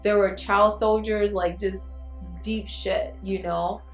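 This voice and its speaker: A woman's voice: medium pitch, fairly even intonation, slow pace, faint music behind. Woman chatting candidly in an echoey room. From Liberia. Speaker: vlogger hosting a YouTube channel. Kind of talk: casual confessional chat to camera.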